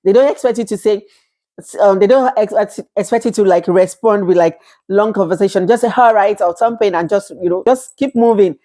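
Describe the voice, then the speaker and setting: A woman's voice: medium pitch, brisk, dark tone. A woman in her thirties from Nigeria with a Nigerian accent, talking casually to camera, chatty, laughing often and acting out little quoted exchanges. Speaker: vlogger.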